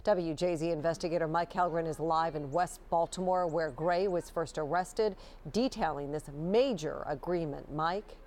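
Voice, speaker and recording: A woman news anchor with a low-pitched voice delivers scripted television news. She reads in a broadcast style, with faint noise behind her. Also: normal speed, a little mellow.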